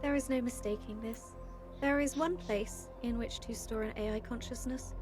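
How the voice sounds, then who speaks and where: A high-pitched female AI acted by a woman, polite and self-assured. The phrasing is formal and clipped like a machine reporting status, in a sci-fi audio drama with music clearly behind it. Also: fairly even intonation, normal speed, close to an everyday voice. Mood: formal, insistent. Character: female AI overseer candidate, calm, capable, insistent, overly nice.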